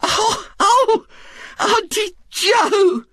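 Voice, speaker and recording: A man's voice: very high-pitched, lively intonation, unhurried, fairly bright tone, no echo, voice pushed up, full character voice. A man voicing a hysterical, tearful woman who shrieks and quavers. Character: hysterical working-class woman, loud and tearful.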